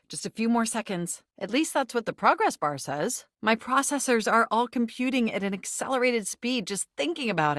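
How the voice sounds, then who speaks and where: A woman's voice: medium pitch, a bit bright, no echo, clean studio sound. A woman playing a perky, vain, flirtatious home computer come to life in a video game, with a chirpy, gushing, theatrical delivery. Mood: eager, upbeat. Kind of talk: video game character voice acting.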